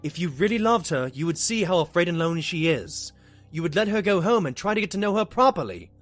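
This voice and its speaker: An earnest sidekick stallion played by a man, high and lively in pitch. He is brave, kind and sincere, a detective's partner in a cartoon audio drama. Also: fast talker, faint music behind. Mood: firm, earnest.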